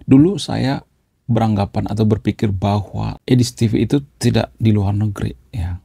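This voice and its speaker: A man's voice: on the low side, moderate pace, vocal fry, dark tone, husky, dry recording, clean studio sound. A man hosting his own channel, talking through a topic casually and tagging "ya" onto nearly every phrase. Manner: chatty, adds "ya" after nearly every phrase. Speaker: YouTube channel host. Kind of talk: casual commentary.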